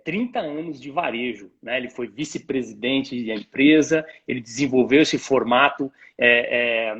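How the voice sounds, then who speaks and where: Brazilian guy on a lo-fi stream call, kinda raspy, explaining stuff like a teacher, asks lots of rhetorical questions. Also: mid-range voice, a bit bright. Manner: didactic, illustrates points with imagined dialogues and rhetorical questions. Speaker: guest retail pricing expert. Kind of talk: conversational explanation to a live stream host.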